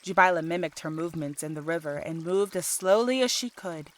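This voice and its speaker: Lower-pitched woman narrating a story. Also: animated, brisk. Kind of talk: scripted audiobook narration.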